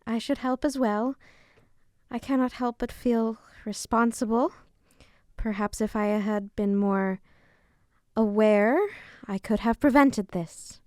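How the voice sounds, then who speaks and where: Woman playing earnest fairy queen, higher voice. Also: steady tone, darkish tone, no echo, clean studio sound. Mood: apologetic. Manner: formal, measured, polite. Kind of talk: audio drama acting.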